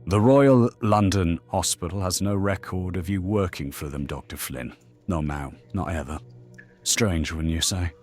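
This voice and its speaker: Male, very deep voice: sardonic Victorian detective inspector, short-tempered, formal, audio drama interrogator. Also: a little mellow, soft background music. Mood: cold, probing. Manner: formal, measured, pointedly sarcastic.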